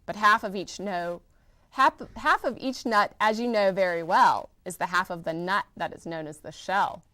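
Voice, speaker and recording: A woman's voice: very animated, normal speed, a bit bright. A woman reading aloud and voicing a small, bossy, greedy male bug. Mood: gloating. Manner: bossy, shrill and gloating.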